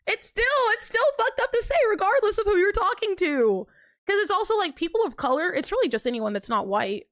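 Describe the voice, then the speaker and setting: A young woman streaming live, chatting casually with viewers in an animated, emphatic way full of fillers and quick pitch jumps. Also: no echo, clean studio sound. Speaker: livestreamer.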